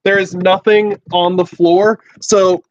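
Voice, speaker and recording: A man in his twenties from the USA, hosting a livestream from a small room at home, exclaiming and swearing with halting restarts. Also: high voice, level tone. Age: 20s. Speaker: podcast host livestreaming. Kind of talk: excited casual livestream chat. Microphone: laptop and handheld phone. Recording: dull lo-fi stream audio.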